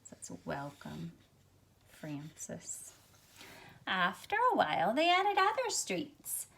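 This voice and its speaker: A middle-aged woman reading a picture book aloud to young listeners in an expressive, measured storytelling way. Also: mid-range voice, gravelly. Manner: expressive, measured storytelling with drawn-out phrases and softened asides. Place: small carpeted room at home, indoors. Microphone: phone at arm's length.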